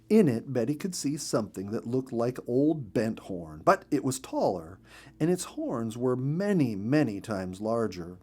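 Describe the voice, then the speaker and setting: Male narrator for a children's animal story: expressive, emphatic, widely swinging intonation. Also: mid-range voice.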